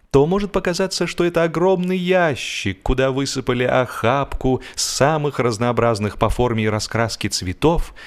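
A man reading a humorous story as an audiobook narrator, in an expressive, measured storytelling manner. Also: fairly low pitch. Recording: music behind the voice. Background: instrumental music.

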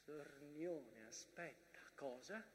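Brief: gripping wartime stage narrator, notably high voice, shifting between hush and declamation, noisy room sound. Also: male voice, slow. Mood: urgent. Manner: swings from hushed whispers to loud declamation. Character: intense dramatic narrator of First World War trench combat. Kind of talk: theatrical storytelling. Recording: clear background noise, stage ambience.

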